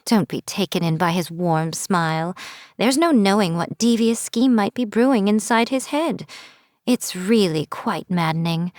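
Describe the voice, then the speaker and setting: A woman's voice: medium pitch, lively intonation, a little slow, a little mellow, no echo, clean studio sound, close to an everyday voice. A woman voicing a sly, teasing fox-spirit shrine priestess, speaking in a playful, wry, mocking tone. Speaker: game character. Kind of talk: scripted game voice lines.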